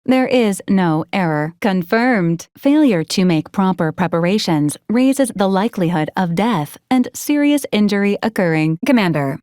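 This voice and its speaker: A woman playing a formal, dutiful female ship's AI in a video game, speaking in a measured, clipped, impersonal way. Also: mid-range voice, darkish tone, no echo, clean studio sound, lightly acted. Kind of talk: scripted video game voice acting.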